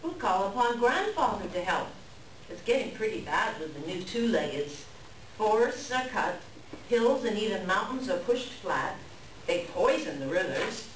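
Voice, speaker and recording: A woman playing an earnest, scolding raven messenger in a staged folktale reading, speaking in a theatrical, deliberate, pleading way. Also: medium pitch, lively intonation, natural voice. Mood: solemn, urgent. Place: live reading in a library room. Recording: echoey room, distant mic, background noise.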